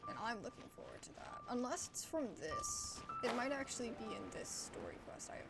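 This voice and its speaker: Casting: chatty female streamer, informal and thinking aloud, very animated high voice, slight background rumble. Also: brisk, vocal fry, over loud music. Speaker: game streamer. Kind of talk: casual let's-play commentary.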